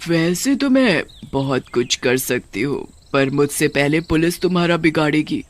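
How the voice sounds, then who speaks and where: Wicked cartoon witch, played by a woman: commanding, gloating, slow menacing delivery. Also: low voice, animated.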